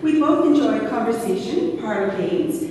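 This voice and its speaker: A woman's voice: fairly high pitch, animated, moderate pace, darkish tone, lightly acted. Lady acting sociable hostess wife in a stage radio play, far from mic, echoey. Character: sociable, gracious wife hosting a party. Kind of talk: scripted amateur radio play. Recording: echoey hall, distant mic, faint noise.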